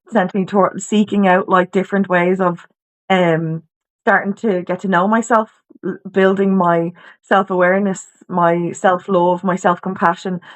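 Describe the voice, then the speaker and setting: Chatty Irish woman telling her story. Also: medium pitch. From Ireland, Dublin. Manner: chatty, informal, many restarts and "you know". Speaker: podcast guest. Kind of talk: candid personal story in conversation. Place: video call from home.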